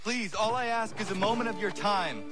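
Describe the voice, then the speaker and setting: An actor playing an earnest, doubtful but determined young man in a video game cutscene, speaking in a solemn, measured way. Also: high-pitched, loud music behind the voice, close to an everyday voice. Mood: insistent. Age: young adult.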